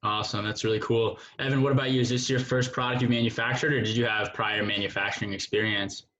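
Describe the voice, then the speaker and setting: A man's voice: fairly low pitch, flat delivery, quick pace, pushed and bright, dull audio. A man hosting a casual interview in an informal, affirming manner. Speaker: interview host.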